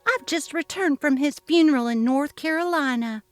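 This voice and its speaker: A woman's voice: high voice, moderate pace, dry recording, faint music behind, pitched above the performer's own voice, natural voice. A woman acting a melodramatic, self-pitying lady boss. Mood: tearful self-pity. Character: Mary, narcissistic lying female boss playing the tearful victim.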